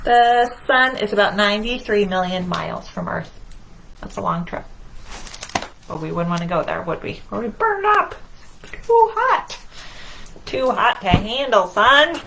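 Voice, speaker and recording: Slow-paced woman reading to young children. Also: mid-range voice, sing-song, gravelly, noisy background. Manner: singsong, simple phrasing with questions to children. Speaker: children's library storytime host. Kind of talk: children's storytime read-aloud with asides to young listeners.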